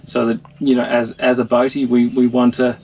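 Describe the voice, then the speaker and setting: A middle-aged man giving an informal, measured technical explanation of equipment, as a technician would. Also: medium pitch, completely flat delivery, over a noisy crowd, poor audio. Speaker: marine electronics technician demonstrating equipment. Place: small wooden boat wheelhouse on the water.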